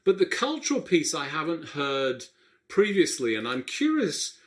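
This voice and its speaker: A man's voice: fairly high pitch, very animated, measured pace, fairly bright tone. A man hosting a healthcare radio show, reflective and thinking aloud with frequent restarts. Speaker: radio show host, physician. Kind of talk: interview host.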